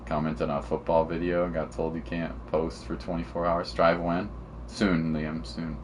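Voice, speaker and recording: A man's voice: deep voice, flat delivery, moderate pace, slight background noise. A man chatting casually on a game live stream in an offhand, slangy way.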